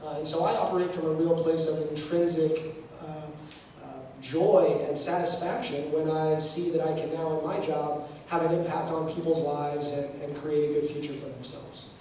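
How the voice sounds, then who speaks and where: A man's voice: fairly high pitch, steady tone, moderate pace, a little mellow. A man from the Bay Area telling students about his background at an event, in a conversational way with many fillers and restarts. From San Francisco Bay Area. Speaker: panel speaker. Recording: echoey room, distant mic, faint noise.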